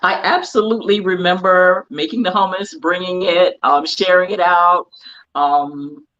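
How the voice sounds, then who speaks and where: A woman in her fifties from Barbados talks reflectively as an interview guest, measured and searching for her words. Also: on the low side, fairly even intonation, a bit bright, dull audio. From Barbados, moved to the United States at ten. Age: 50s. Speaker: guest priestess. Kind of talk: casual reflective interview talk.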